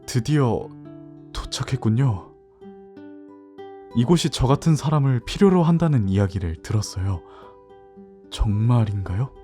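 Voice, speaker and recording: A man's voice: medium pitch, lively intonation, measured pace, pauses often, dark tone, faint music behind, lightly acted. A man playing a young farmer's son, narrating his own fantasy story in a reflective, literary way in an audio drama. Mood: hesitant, curious.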